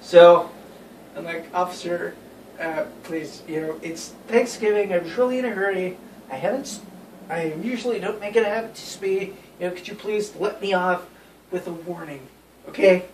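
A young man casually telling friends an anecdote in an animated way. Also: high-pitched, moderate pace. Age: young adult. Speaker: storyteller. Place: small bedroom, indoors. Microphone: phone camera a few feet away. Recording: roomy, distant phone mic with noise.

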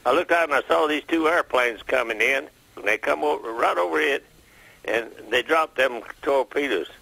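A man's voice: mid-range voice, normal speed, vocal fry, bright, forceful tone, husky, no echo. A man in his nineties with a Southern US accent, recalling events in a plain-spoken phone interview. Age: 90s. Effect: phone call. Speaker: war veteran interviewee. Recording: telephone interview line.

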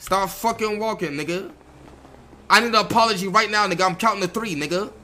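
High, bright-voiced man, confrontational. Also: a little fast, slight background noise. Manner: confrontational, slangy, repetitive. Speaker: streamer playing a gang roleplay game. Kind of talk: casual in-game roleplay chat.

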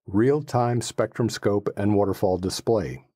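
Low, mellow-voiced man explaining things. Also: unhurried, slightly rough, no echo, studio quality. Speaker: host presenting a radio installation. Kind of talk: explanatory how-to presentation.

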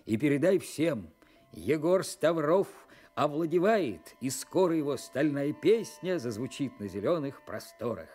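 A man's voice: fairly high pitch, lively intonation, a little slow, a little mellow. A man playing a boastful young tractor driver reciting his letter in a radio drama, jaunty, slangy and swaggering. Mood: cocky, jaunty. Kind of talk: Soviet radio drama monologue. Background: music.

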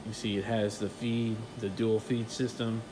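A man gives a relaxed tour of his print shop, explaining machines with plenty of "uh"s and "um"s in an even, level tone. His voice comes from a handheld phone as he walks through offices and a warehouse. A clear air-conditioning hum runs underneath. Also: fairly low pitch, moderate pace. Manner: relaxed, technical, many fillers. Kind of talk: casual walkthrough tour. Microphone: handheld phone camera, filming while walking.

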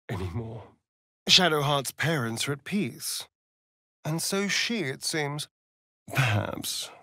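A man's voice: mid-range voice, no echo, studio quality, exaggerated voice. A man playing a sly, vain, sardonic vampire rogue in a fantasy video game, with an arch, theatrical drawl. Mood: guarded, pointed, then dry, dramatic.

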